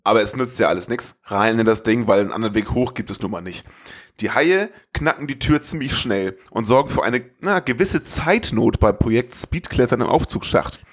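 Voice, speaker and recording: A man's voice: medium pitch, lively intonation, normal speed. A man hosting a podcast, reading a scripted film summary as a narrator. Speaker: podcast host.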